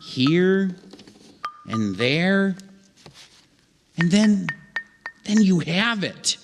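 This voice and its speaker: A man narrating a scripted comic story on stage in an old-time radio show, deliberately and with dramatic pauses. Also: high voice, sing-song, over music. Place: live theatre stage. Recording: theatre hall reverb, faint noise.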